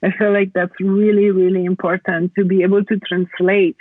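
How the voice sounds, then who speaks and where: A woman from Italy, explaining reflectively as a podcast guest in a halting, conversational way over a remote call. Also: mid-range voice, level tone, no room sound, narrow, tinny audio. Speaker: podcast guest, plant medicine practitioner.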